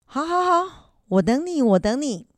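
A stubborn but cheerful grandmother, acted by a woman in a family radio drama. She speaks in a fairly high, very animated voice, insistent and colloquial, recorded dry. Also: measured pace, no room sound. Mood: protesting. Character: stubborn, cheerful grandmother determined to go back to school.

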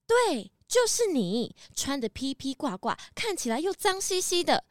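A woman playing a snobbish, accusing rich lady snake in a children's audio drama, with haughty, drawn-out emphasis. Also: high voice, very animated, no room sound, clean studio sound.

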